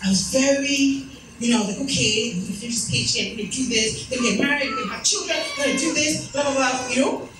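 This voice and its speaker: Woman in her 30s telling stories at an event, kinda high voice, super echoey and far from the mic. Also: fast talker. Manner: chatty storytelling with self-deprecating asides. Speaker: speaker. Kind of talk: motivational talk. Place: event hall. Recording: echoey room from far off, noisy.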